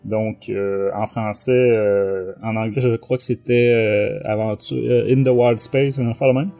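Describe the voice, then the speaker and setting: A man's voice: on the low side, fairly even intonation, measured pace, mellow, faint music behind. A man with a Quebec French accent, casually discussing a book as a podcast co-host. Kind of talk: casual chat.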